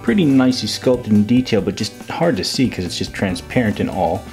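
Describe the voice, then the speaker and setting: A man's voice: lowish voice, animated, fairly quick, a little mellow, faint music behind. A man giving a casual, jokey action figure review as host, with a playful manner and silly made-up words. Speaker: toy reviewer and video host.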